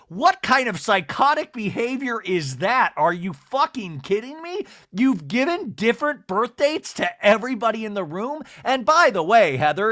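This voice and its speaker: A man hosting a solo podcast that recaps reality TV, talking in a lively, rambling, sarcastic way and swearing now and then. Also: sits high, dry recording, studio quality. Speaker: podcast host.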